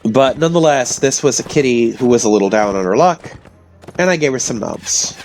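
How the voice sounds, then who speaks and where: A man with a hoarse voice from illness, telling rambling stories casually to his audience. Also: medium pitch, animated, a little fast, a little mellow, soft background music, low-quality recording. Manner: meandering, self-interrupting, chatty. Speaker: vlogger.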